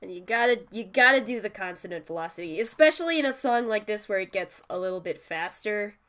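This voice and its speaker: A woman talks through a relaxed screen-share tutorial, her voice stuffy from a cold. She keeps it chatty, with quick laughs and mild swearing as she works. Her pitch sits in an ordinary middle range. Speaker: music producer teaching vocal synth tuning.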